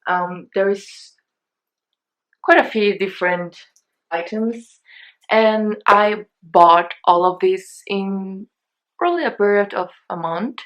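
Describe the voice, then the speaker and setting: A woman's voice: mid-range voice, takes it slowly, fairly bright tone, dull audio. A young woman from Mexico, a Spanish native speaker with a Mexican accent, chatting casually through a shopping haul, rambling and hesitant, with many fillers and restarts. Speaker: beauty vlogger.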